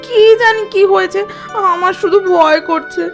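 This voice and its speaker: A woman's voice: very high voice, normal speed, gravelly, faint music behind. A woman voicing a timid, fearful village housewife in a cartoon, hesitant and pleading. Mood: anxious. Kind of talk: cartoon voice acting.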